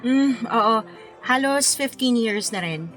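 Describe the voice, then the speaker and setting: Friendly Filipino woman wedding planner, higher voice. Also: animated, takes it slowly, a bit bright, faint music behind, rough recording. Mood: friendly, polite. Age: middle-aged. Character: friendly forty-year-old woman wedding planner, patient and sincere. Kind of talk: Tagalog radio drama dialogue.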